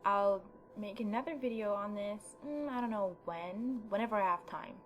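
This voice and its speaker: A woman gives casual, opinionated commentary as she plays a game. Her voice sits at a middle pitch, informal and chatty, with faint noise and ambience behind it. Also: moderate pace, dull audio. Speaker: gaming video host. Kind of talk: casual let's play commentary.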